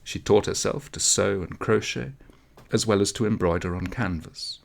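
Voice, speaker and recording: Man, low-pitched voice, gravelly creak, expressive audiobook storytelling, measured dramatic phrasing. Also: a little hiss or hum. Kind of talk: expressive literary storytelling narration.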